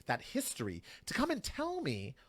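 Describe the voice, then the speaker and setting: A man's voice: high voice, wildly swooping pitch, moderate pace, a bit bright. A man speaking as a guest on a radio talk show, arguing passionately and repeating phrases for emphasis.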